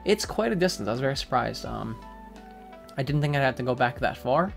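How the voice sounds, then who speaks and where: A man giving a relaxed, casual walkthrough of a game over gameplay, with frequent fillers. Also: medium pitch, brisk, vocal fry, faint music behind. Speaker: gaming guide creator.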